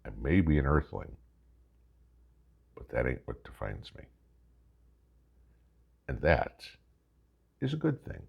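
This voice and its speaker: An American man hosting a vlog with casual political commentary, talking in a folksy, emphatic, halting way and posing rhetorical questions. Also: a bass voice, huge pitch swings, creaky, slightly rough, dull audio. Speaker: host of a preparedness vlog.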